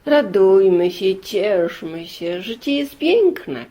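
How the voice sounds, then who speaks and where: A woman's voice: medium pitch, animated, slow, warm tone. A woman voicing a contented, joyful village wife in a storybook tale, with an accepting, upbeat manner.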